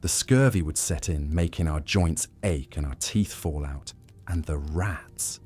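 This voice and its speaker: A man voicing a grizzled, world-weary old pirate with a rough, raspy voice, telling grim tales in sailor dialect for a story. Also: a bass voice, unhurried, a little mellow, soft background music, heavily acted. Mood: bitter, haunted.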